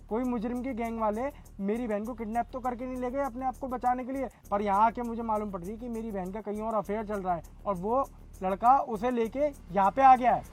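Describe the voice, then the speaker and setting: A man's voice: very high-pitched, darkish tone. A man acting a stern, suspicious older brother who is a policeman, in an amateur melodramatic skit. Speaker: actor in a moral-story video.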